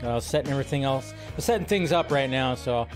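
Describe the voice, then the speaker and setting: A man's voice: mid-range voice, a little fast, soft background music. A man hosting a livestream in a casual, rambling, informal way, chatting to viewers and repeating his phrases. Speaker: livestream host. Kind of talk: casual livestream chat, greeting viewers and reading comments.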